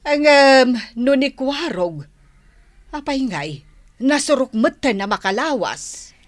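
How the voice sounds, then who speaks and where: A woman's voice: sits high, very animated, slow pace, dry recording, heavily acted. A woman playing a fretful, concerned village woman in a radio drama. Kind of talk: Ilocano radio drama acting. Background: music.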